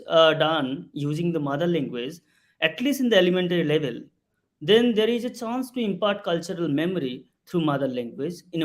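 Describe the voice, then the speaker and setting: A man answers a question as a panelist, speaking in a measured, earnest way. His voice sits somewhat high for a man. The delivery is steady and thoughtful.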